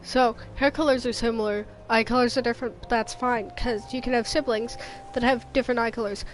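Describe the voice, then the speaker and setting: A woman's voice: on the high side, fairly even intonation, fairly quick, a little hiss or hum, rough recording. A woman streaming a game with casual, rambling commentary, playful and dryly sarcastic.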